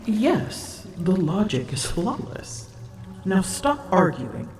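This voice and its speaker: A woman's voice: medium pitch, big pitch swings, darkish tone, reverberant, a little hiss or hum. A woman voicing a proud, smug female dragon in human form, haughty and teasing, in an ASMR roleplay. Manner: haughty, teasing, slow and deliberate.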